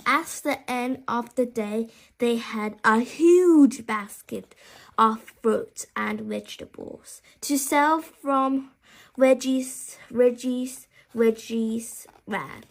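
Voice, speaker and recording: A woman reading a children's bedtime story aloud, haltingly, with small stumbles and repeated words. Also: fairly high pitch, gravelly.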